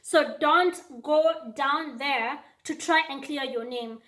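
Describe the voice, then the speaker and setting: A woman's voice: high voice, slow, fairly bright tone. A young woman from Kenya with a Kenyan accent, talking straight to camera and giving emphatic advice in a vlog, often repeating lines for weight. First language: not English. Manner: emphatic, repeats lines for effect, acts out quoted people. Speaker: YouTuber.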